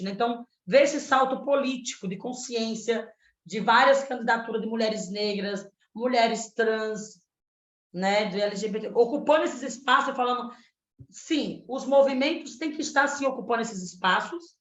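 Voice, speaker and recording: Woman, 30s, from Pernambuco, political candidate, earnest activist manner, high-ish voice, echoey dull video-call sound. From Pernambuco, Brazil. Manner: earnest, activist, frequent "né?" tags. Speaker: candidate for state legislature. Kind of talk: panel debate answer.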